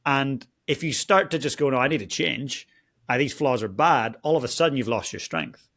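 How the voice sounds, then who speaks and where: A man's voice: mid-range voice, lively intonation, normal speed, fairly bright tone. A man from Northern Ireland with a Northern Irish accent, hosting an interview and affirming his guest earnestly. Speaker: podcast host. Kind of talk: warm interview conversation.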